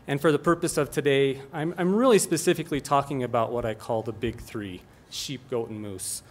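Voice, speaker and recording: A middle-aged man from Colorado giving an earnest, plainspoken public comment at a meeting, often restarting his sentences. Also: medium pitch.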